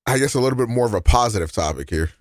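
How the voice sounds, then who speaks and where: A man's voice: mid-range voice, expressive, racing, noisy background. A man reacting live on stream, blunt and profane, calling out guesses as he watches.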